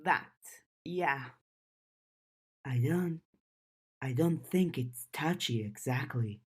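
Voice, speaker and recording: A gentle, thoughtful teenage girl from an audiobook, read by a woman. She is soft-spoken and takes her lines slowly. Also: a bass voice, expressive, breathy edge, full character voice. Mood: soft, hesitant. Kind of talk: audiobook character dialogue.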